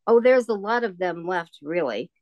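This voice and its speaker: A woman's voice: lowish voice, lively intonation, normal speed, no echo, lo-fi sound, close to an everyday voice. A woman playing a kindly, rambling old shopkeeper in a radio play, hesitant and musing. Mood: wistful, chatty.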